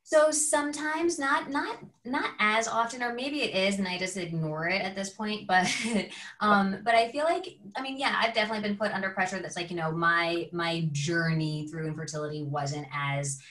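A woman's voice: medium pitch, normal speed, few pauses, fairly bright tone. A woman guest on a podcast, a teacher, telling stories in a rambling way full of "like" and acted-out quotes. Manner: rambling, lots of "like", acts out quotes. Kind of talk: casual storytelling chat. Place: home room, video call. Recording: echoey, distant video call audio.

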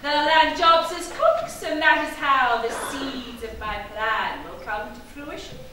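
A woman's voice: high-pitched, measured pace, a crowd in the background. A woman playing a scheming, malevolent pantomime villain on stage, declaiming with imperious, gloating relish. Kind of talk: theatrical pantomime stage acting, rhyming verse. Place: stage of a theatre. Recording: echoey theatre hall from far off, noisy.

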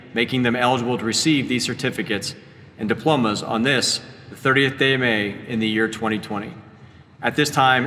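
Middle-aged principal, formal and measured, bright tone, hall reverb. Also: male voice, mid-range voice. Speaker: high school principal. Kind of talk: formal ceremony announcements. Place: school gymnasium.

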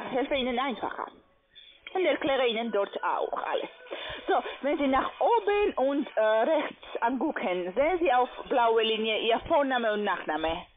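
A woman is selling over a tinny phone line in accented, non-native German. Her voice is fairly high and swings widely in pitch. Her phrasing is halting and formal, like a call centre agent working through a pitch. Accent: foreign, non-native German. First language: not German. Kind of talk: telephone sales pitch.

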